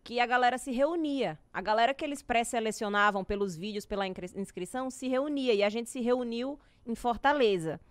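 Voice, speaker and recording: A woman in her twenties with a drawling way of talking, telling stories as a guest in a small podcast studio on a boom mic, lively, slangy and animated. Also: a little fast, hardly any pauses, dry recording. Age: 20s. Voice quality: drawling speech. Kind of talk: casual storytelling chat. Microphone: studio boom mic with headphones.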